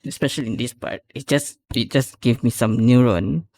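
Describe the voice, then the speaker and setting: A man's voice: mid-range voice, moderate pace, creaky, darkish tone. A man giving casual reaction commentary as a video host, rambling and often correcting himself. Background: music.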